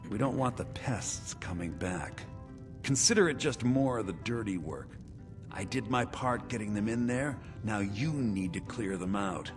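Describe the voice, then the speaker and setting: An actor playing a bitter, scheming conspirator in a video game, talking in a sly, confiding way. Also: male voice, lowish voice. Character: bitter, scheming man, conspirator in a mead-poisoning plot. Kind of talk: video game character dialogue. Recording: game music behind the voice. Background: game music.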